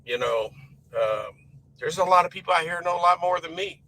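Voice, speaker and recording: A man who drives his own truck, talking casually about fuel discounts, his voice hoarse and raspy from a cold, rambling in a folksy, emphatic way. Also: sits high, animated, brisk, pushed and bright, a little hiss or hum, rough recording. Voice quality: rough and raspy. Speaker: owner-operator truck driver. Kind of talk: casual vlog talk to camera.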